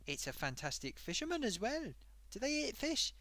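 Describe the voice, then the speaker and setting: A man's voice: very high-pitched, huge pitch swings, normal speed, bright, forceful tone, no room sound, pitched above the performer's own voice, full character voice. Man doing a rambling sock puppet host. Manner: rambling, wandering off topic, talks to viewers. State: sore throat, losing voice. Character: chatty male sock puppet show host. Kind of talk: rambling comedic live-stream monologue.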